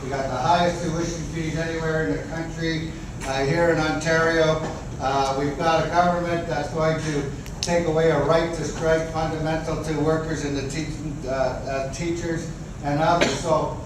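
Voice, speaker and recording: A man's voice: fairly high pitch, little pitch movement, normal speed. Man making an earnest union speech, heard from afar with background noise. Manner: earnest, rallying, with frequent fillers. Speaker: labour union speaker. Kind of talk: public speech at an event. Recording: echoey hall, far from mic, noisy.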